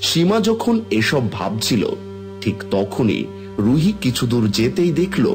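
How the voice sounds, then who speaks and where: A man narrating a scripted children's fairy tale as storyteller. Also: medium pitch.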